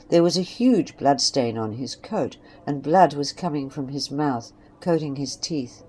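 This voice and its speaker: A woman's voice: rumbling low, a little mellow. A woman narrating a scripted western story. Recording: faint background noise and ambience.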